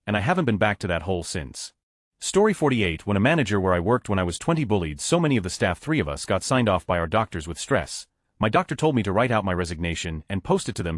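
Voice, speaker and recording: A man narrating online stories aloud in a brisk, matter-of-fact reading. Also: lowish voice, no echo, studio quality.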